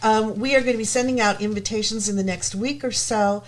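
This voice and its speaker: A woman's voice: mid-range voice, fairly even intonation, a little fast. A woman from the United States, chatting as a guest on a live show, hesitant with many ums but enthusiastic. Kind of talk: casual chat.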